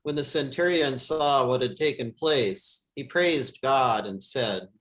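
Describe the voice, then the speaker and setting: Solemn man reading scripture, mid-pitched. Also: takes it slowly, a bit bright, rough recording.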